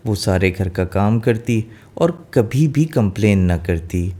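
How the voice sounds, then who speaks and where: A man's voice: fairly low pitch, expressive, slow, mellow. A man narrating a scripted fairy tale as a storyteller.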